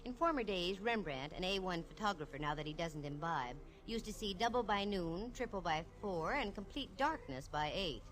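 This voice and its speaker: An actress playing a wisecracking young woman private detective, narrating an old radio drama in a flat, read-off-the-page delivery. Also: fairly low pitch, normal speed, few pauses, low-quality recording. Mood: wry.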